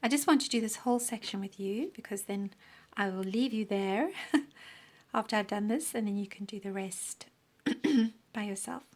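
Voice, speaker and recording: A woman teaching a crochet pattern step by step, counting stitches aloud, her voice croaky and often dropping into a breathy half-whisper. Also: mid-range voice, normal speed. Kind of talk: step-by-step craft tutorial.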